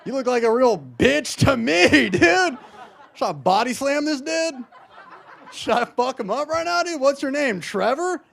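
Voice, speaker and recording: A man's voice: very high voice, expressive, measured pace, vocal fry, a bit bright, slight background noise. An American man hosting a stand-up show with brash, mock-tough bro talk, tacking dude onto his sentences. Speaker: comedy show host.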